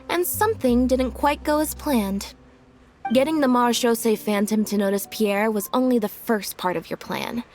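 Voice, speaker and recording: A woman playing a composed, sharply logical detective in a video game, explaining in a measured way. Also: fairly high pitch, darkish tone, natural voice. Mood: accusing. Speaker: detective character. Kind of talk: video game story voice acting. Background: faint music.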